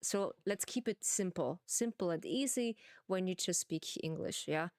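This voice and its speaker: A woman streamer with a German accent, playfully chatting to viewers in simple, halting English while she plays a farming game. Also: fairly low pitch, darkish tone, dry recording, studio quality.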